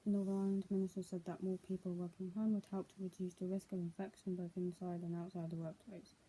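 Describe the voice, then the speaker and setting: A woman's voice: on the low side, level tone, quick pace, dark tone, slight background noise, low-quality recording. A young adult woman, speaking as a vlogger in a casual, homemade style. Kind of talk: casual vlog, reading news aloud and chatting.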